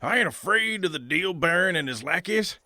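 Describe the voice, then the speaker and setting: A man's voice: sits high, expressive, normal speed, pushed and bright, no echo, clean studio sound, exaggerated voice. A man playing a stubborn, folksy farmer with a drawl in a comic western audio drama. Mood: defiant. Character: folksy, stubborn country farmer, tractor-driving and defensive.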